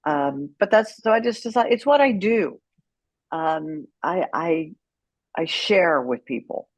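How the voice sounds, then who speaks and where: A woman's voice: sits low, animated, takes it slowly, no echo. A woman speaking as an interview guest, telling her story in a candid, outspoken and emphatic way. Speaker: guest, author and caregiver advocate.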